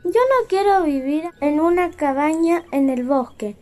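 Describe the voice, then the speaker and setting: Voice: sits high, lively intonation, a little slow, a little mellow. A performer voicing a cranky, bossy old grandmother in a comic fairy-tale audio drama. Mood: grumbling, demanding.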